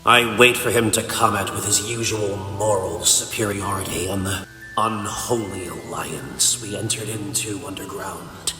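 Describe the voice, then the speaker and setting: A man's voice: fairly low pitch, fairly even intonation, a little slow, natural voice. A man playing a bitter, grief-stricken, vengeful ice supervillain in a comic dub, with theatrical, brooding delivery. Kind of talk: dramatic comic dub acting. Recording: echoing reverb, music underneath. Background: music.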